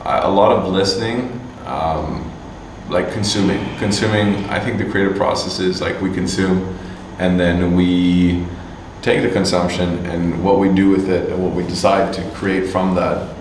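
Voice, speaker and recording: A man from Canada answering interview questions casually, halting with many fillers and restarts. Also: low voice, flat delivery. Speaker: musician and agency owner. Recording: distant mic, echoey room, faint hum.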